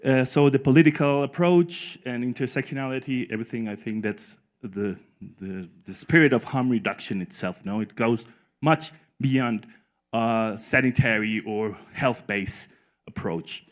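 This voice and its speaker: Relaxed man chairing a conference panel. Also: medium pitch, measured pace. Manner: relaxed, speaks English as a second language with tag "no?". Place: conference room.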